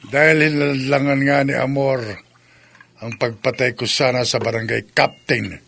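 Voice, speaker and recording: Horror radio villain: obsessive aswang suitor, gravelly, confident, melodramatic. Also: male voice, medium pitch, flat delivery, moderate pace, a bit bright. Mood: smug, possessive. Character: obsessive, scheming male aswang in love. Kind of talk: Philippine horror radio drama acting.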